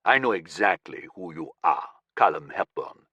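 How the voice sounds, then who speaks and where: A man voicing a cold, menacing militant leader who speaks slowly in a low, dangerous tone. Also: animated, pushed and bright, slightly rough, no room sound, exaggerated voice.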